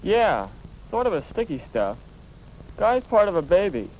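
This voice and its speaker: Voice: mid-range voice, sing-song, measured pace. A young male performer playing a knowing teenage boy who talks casually and confidingly in an educational film. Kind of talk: scripted educational film dialogue. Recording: dull old film soundtrack, faint noise.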